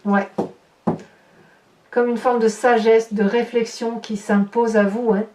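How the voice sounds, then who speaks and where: High-voiced woman reading tarot, echoey room. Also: little pitch movement, takes it slowly, darkish tone. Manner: conversational, tags phrases with questioning interjections, comments as cards turn up. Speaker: tarot reader and horoscope host. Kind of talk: improvised tarot card reading addressed to viewers. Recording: echoey room, distant mic, faint noise.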